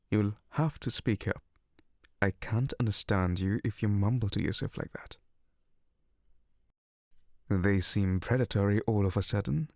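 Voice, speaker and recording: A man playing a slow gravelly incubus. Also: on the low side, a little mellow, no echo, studio quality. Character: seductive teasing male incubus, playful predator. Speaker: ASMR roleplay voice actor. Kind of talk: ASMR roleplay.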